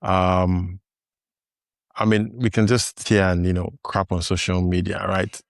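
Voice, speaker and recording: A man with a fairly low voice hosts a relaxed podcast chat. He talks thoughtfully, circling back with fillers and restarts and laughing easily. The sound is dry and close. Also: a little slow, no echo. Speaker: podcast host. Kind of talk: casual conversation.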